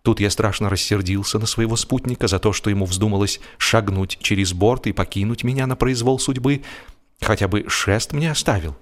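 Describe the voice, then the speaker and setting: A man reads a humorous story as an audiobook narrator, in an expressive, animated storytelling manner. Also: fairly low pitch, a little fast.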